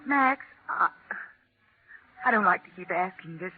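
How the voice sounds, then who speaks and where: A woman's voice: lowish voice, expressive, measured pace, husky. An actress playing an anxious, doubting young woman in a 1940s radio drama, hesitant and fretful. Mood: weary, worried. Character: anxious, doubting young woman, devoted but easily rattled. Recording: noisy muffled 1940s radio recording.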